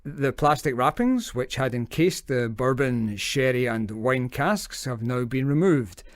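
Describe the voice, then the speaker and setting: An actor playing a formal radio newsreader in an audio drama, reporting in a scripted bulletin style. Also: male voice, mid-range voice, expressive, measured pace, dry recording, clean studio sound, lightly acted. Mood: flustered. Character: formal male radio newsreader, easily flustered. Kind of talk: news reading in audio drama.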